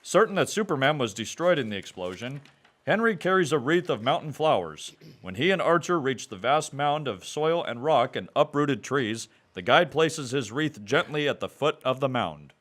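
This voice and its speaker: A man's voice: animated, moderate pace, a bit bright. Dramatic radio serial narrator, man. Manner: dramatic, booming announcer. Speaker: narrator and announcer.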